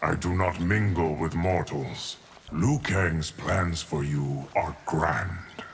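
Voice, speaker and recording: A man playing a solemn, stern immortal guardian of time in a video game, speaking formally in a deep, gravelly voice. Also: takes it slowly, slight background noise, exaggerated voice. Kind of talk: video game character dialogue.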